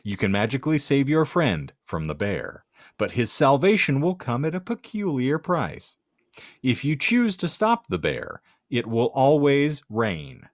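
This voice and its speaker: A man's voice: medium pitch, sing-song, unhurried, no room sound, clean studio sound. A man hosting a podcast, reading out a comic hypothetical and bantering in a wry, wordy, mock-formal manner. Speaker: podcast host.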